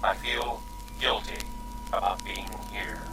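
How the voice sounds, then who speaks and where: An actor playing a gentle, guilt-ridden creature from another dimension in a radio drama, speaking formally, earnestly and hesitantly. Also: male voice, low-pitched, steady tone, takes it slowly, loud music behind the voice, rough recording. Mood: solemn, wistful.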